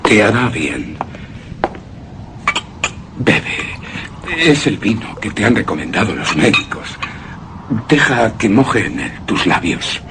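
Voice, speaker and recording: An actor playing a haunted, anguished husband who narrates a gothic horror tale in a radio drama, with heavy dramatic pauses. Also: male voice, on the low side, very animated, background noise. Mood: soothing. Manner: slow, brooding, dramatic delivery with heavy pauses.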